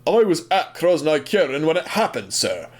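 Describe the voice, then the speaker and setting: A man's voice: a little high, sing-song, moderate pace. An actor playing an earnest railway director in an audio drama, grave and deferential as he reports to his superior. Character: railway director, earnest witness backing up a tale.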